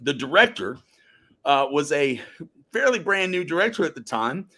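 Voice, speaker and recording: A man's voice: a little high, very animated, bright, forceful tone. Emphatic male actor telling stories. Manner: emphatic, deliberate storytelling with dramatic pauses. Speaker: guest, actor. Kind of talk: casual interview chat.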